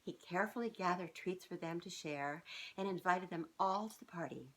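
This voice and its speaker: A woman's voice: lowish voice, normal speed, low-quality recording. A woman in her fifties reading a children's storybook aloud, expressively and informally, with chatty asides to young listeners. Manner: expressive, informal, often breaking off to comment. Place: living room sofa, indoors. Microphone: camera a short distance away.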